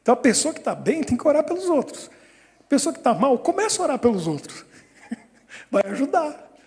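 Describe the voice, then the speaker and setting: A man, a pastor giving a sermon with lively storytelling and frequent chuckles. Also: very high-pitched, brisk, in a large hall. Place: church hall.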